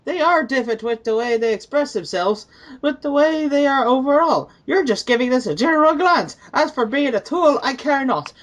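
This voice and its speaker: A woman's voice: sits high, expressive, normal speed. A woman acting as a reader, giving a dramatic reading of written online comments.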